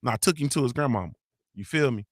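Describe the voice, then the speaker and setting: A man hosting a casual morning show, spinning stories full of slang and profanity. He keeps tagging his lines with questions to the listener. His voice sits at a middle pitch and the sound is dry and close. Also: lively intonation, quick pace, dry recording. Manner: loose storytelling with heavy profanity and slang; frequent filler tags like "you feel me". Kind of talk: casual live-stream chat reading comments.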